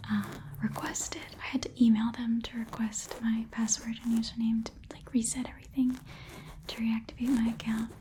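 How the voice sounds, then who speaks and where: A woman whispering in a hushed, casual ramble, as in an ASMR video. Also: fairly high pitch. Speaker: ASMR content creator.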